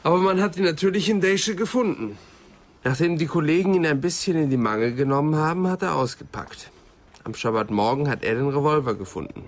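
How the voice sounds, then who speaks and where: An actor playing a wry, teasing police assistant in a radio crime drama, talking in a colloquial, dryly ironic way. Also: male voice, mid-range voice, creaky. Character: wry, teasing male police assistant reporting to his inspector.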